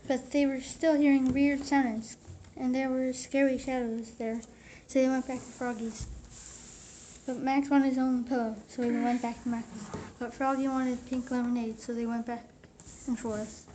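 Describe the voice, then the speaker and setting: A woman's voice: sits high, little pitch movement, normal speed, warm tone, low-quality recording. A woman reading a children's storybook aloud, with some background noise around the voice.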